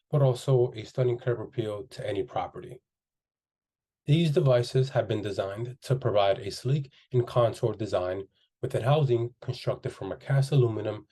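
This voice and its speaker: Mellow, low-pitched man presenting training. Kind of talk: scripted training narration.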